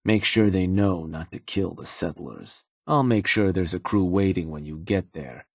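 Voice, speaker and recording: An actor playing a sly, cynical gang advisor in a video game, with a husky, laid-back, slangy delivery and an undertone of menace. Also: male voice, animated, normal speed, dry recording, clean studio sound, full character voice. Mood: businesslike, encouraging. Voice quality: husky, low. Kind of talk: video game character dialogue.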